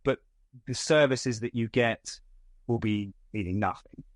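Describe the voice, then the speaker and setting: A man's voice: medium pitch, a little slow, dry recording, clean studio sound. A man being interviewed as a guest who runs a distribution company, restarting and repeating words as he explains his views. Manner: stammers, repeats words, uses analogies. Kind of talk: interview, conversational.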